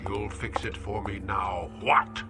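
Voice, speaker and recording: An actor playing a domineering old uncle who sneers insults and drops into a menacing whisper, in a radio drama. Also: male voice, lowish voice, steady tone, slow, music in the background. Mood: sneering. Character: cruel domineering elderly uncle.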